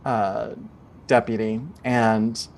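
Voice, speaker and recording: A woman's voice: rumbling low, flat delivery, extremely slow, darkish tone. A woman improvising narration as game master of a tabletop game, speaking in a casual, conversational way.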